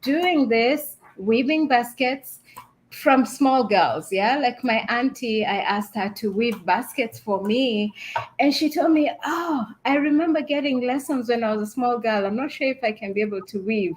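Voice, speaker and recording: A woman's voice: a little high, measured pace, rough recording. A woman from Kenya, a small business founder, telling her story in a casual video call chat and laughing often.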